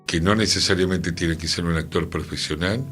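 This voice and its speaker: A man's voice: low voice, fairly quick, fairly bright tone. A man with an Argentine accent hosting a radio talk on culture in a musing, conversational manner. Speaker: radio host. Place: radio studio. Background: music.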